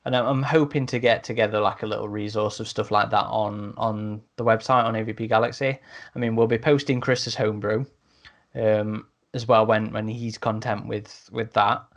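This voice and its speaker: A man's voice: lowish voice, fairly even intonation, moderate pace. A man hosting a podcast in a casual, chatty, matey way, speaking informally to listeners. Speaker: podcast host.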